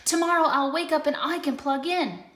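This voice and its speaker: A woman's voice: high-pitched, moderate pace, fairly bright tone, pitched above the performer's own voice. A woman voicing a young boy who gets bored and frustrated easily, his lines raised and whiny. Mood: eager. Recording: roomy echo.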